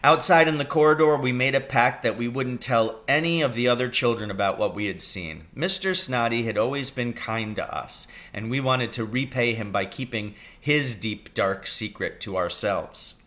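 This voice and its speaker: A man reading a children's story aloud as a teacher would, with expressive narration. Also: mid-range voice, normal speed, hardly any pauses.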